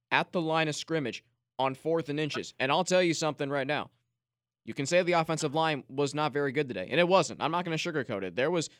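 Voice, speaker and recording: A man hosting a sports podcast from a studio, recapping a football game with emphatic excitement. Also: on the high side, dry recording. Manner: excited, emphatic, addresses co-host by name. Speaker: podcast host. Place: radio studio.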